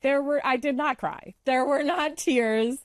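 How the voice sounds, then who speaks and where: A woman's voice: high-pitched, a little slow, no room sound, clean studio sound. An American woman chatting as a panelist on a casual TV recap, earnestly making her case in a conversational way.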